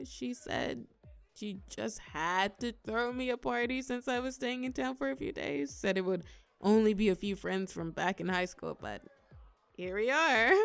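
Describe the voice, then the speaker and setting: A young woman acting a shy, awkward, gently teasing birthday girl in a scripted audio roleplay, with a halting, expressive, conversational delivery. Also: medium pitch, creaky, smooth. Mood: bashful, pleased. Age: young adult. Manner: bashful, halting, giggly, expressive.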